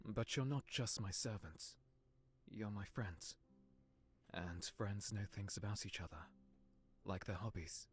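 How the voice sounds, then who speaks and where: A man playing a guarded, heartbroken young nobleman in a visual novel, speaking in an earnest, measured way. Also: fairly low pitch, soft background music, close to an everyday voice. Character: heartbroken young nobleman, guarded, wounded, confiding in his servant. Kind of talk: visual novel character acting.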